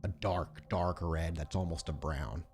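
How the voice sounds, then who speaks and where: A man narrating a tabletop horror role-playing game as game master, setting scenes in descriptive, deliberate detail. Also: low voice. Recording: music playing under the voice. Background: music.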